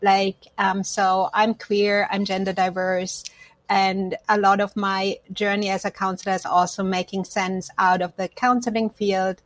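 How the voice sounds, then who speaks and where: A woman's voice: medium pitch, no room sound. Dry-sounding woman from Germany, reflective interviewee. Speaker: podcast guest, counselor. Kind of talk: reflective personal interview talk.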